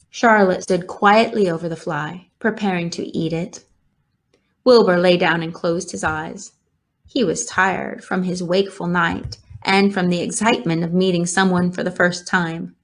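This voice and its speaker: A woman narrating a children's novel aloud in a measured, expressive storytelling manner. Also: fairly low pitch. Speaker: storybook reader.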